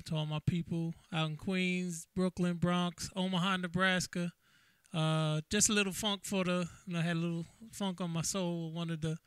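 A man's voice: fairly high pitch, clean tone, no room sound. A man, perhaps middle-aged, talking casually and a little hesitantly as a DJ greeting his followers, close on a microphone. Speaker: DJ and keyboard player. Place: small indoor home music setup. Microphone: close vocal microphone on a stand.

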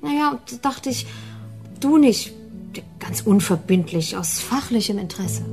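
An actress playing a frank, informal woman in a radio drama, talking in a colloquial, halting way. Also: fairly high pitch, expressive, gravelly, darkish tone, soft background music. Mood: candid, persuasive. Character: frank, informal woman appealing to a detective colleague.

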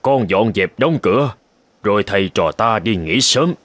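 A man voicing an elderly, wise Buddhist monk in a cartoon folk tale, patient and measured as he teaches. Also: lowish voice, expressive. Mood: calm, instructive. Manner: measured, gently instructive. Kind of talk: animated folk tale dialogue.

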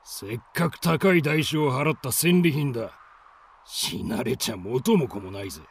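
An actor playing a domineering nomad raider chieftain in a radio drama, with rough, commanding, coarse speech. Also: male voice, medium pitch, lively intonation, unhurried, a little hiss or hum. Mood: barking orders.

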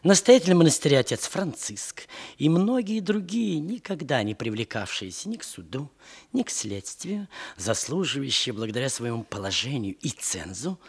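Give the voice of a self-assured investigator from the capital in an old radio play. He is pompous and condescending, with measured official phrases. The medium-pitched voice is slightly rough and moves about with great expression. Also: sing-song, exaggerated voice. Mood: reproachful. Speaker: radio drama actor. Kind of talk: 1960s Soviet radio play acting.

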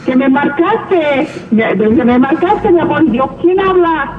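A woman's voice: high-pitched, lively intonation, measured pace. A middle-aged woman with a Mexican accent chatting casually over a telephone speaker. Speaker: vlogger's mother on a call. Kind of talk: casual phone chat. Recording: dull phone speaker in noisy car.

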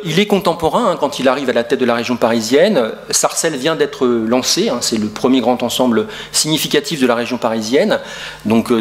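Middle-aged male lecturer, hesitant and full of fillers, lecture room, distant camera. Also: mid-range voice. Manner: hesitant, many fillers and restarts. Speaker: urban historian lecturer. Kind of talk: academic lecture.

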